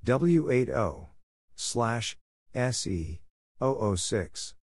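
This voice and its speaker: A man giving a scripted instructional readout of radio exchanges in deliberate, clipped phrases, spelling out call signs. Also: mid-range voice, very animated, no room sound, clean studio sound. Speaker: Morse code practice narrator.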